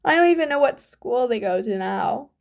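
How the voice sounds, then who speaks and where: A woman's voice: high-pitched, slow, mellow, voice pushed up. A woman voicing a frightened, shy teenage boy who speaks in a quavering, tearful way.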